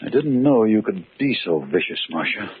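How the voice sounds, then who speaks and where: An actor playing an accused amateur magician, theatrical, sardonic and urgent, in a 1940s radio mystery. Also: male voice, on the low side, animated, normal speed, close to an everyday voice. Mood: bitter, sardonic. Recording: tinny, noisy old radio recording.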